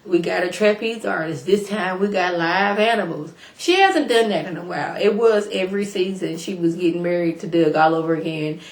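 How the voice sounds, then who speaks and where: A woman casually recapping a reality show episode for her viewers in a chatty, slangy way, a little hoarse from a cold. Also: lowish voice, a little fast, hardly any pauses. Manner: chatty, animated, slangy, addresses viewers directly. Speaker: YouTube reality TV reviewer. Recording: echoey room.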